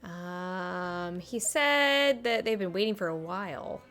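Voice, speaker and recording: A woman game streamer reacting casually to a playthrough, playful and expressive, half talking to herself. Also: on the low side, takes it slowly, clear, resonant tone, faint music behind.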